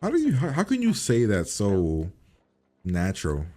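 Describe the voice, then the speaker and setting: A man's voice: lowish voice, wildly swooping pitch, moderate pace, mellow. A man hosting a reaction video in a casual, blunt way, swearing now and then.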